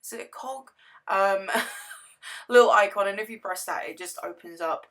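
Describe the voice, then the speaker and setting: Hoarse chatty woman. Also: medium pitch, unhurried, a bit bright. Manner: rambling, self-correcting, chatty. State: hoarse, lost voice. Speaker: gaming YouTuber. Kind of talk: casual game build commentary.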